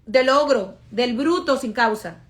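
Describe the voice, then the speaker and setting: A woman's voice: on the high side, animated, measured pace. A woman with a Venezuelan accent talking casually and emphatically to her followers in a vlog, repeating phrases for effect. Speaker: social media influencer and vlogger. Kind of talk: casual get-ready-with-me vlog monologue.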